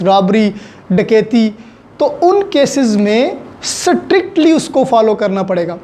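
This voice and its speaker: A law lecturer with a Pakistani accent explains with examples in deliberate, halting phrases, mixing in English legal terms. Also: medium pitch, darkish tone.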